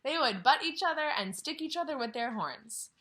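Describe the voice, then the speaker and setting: A woman's voice: high-pitched, normal speed. A woman teaching kindergarten from home, reading a story aloud and asking questions she then answers. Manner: animated, asks questions and answers them herself. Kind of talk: read-aloud lesson for young children.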